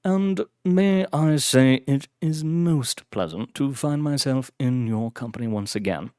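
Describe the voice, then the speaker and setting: A man's voice: mid-range voice, darkish tone, smooth, no echo, studio quality, lightly acted. A man playing a formal elderly British butler. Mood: courteous. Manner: formal, measured, polite. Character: elderly distinguished male butler.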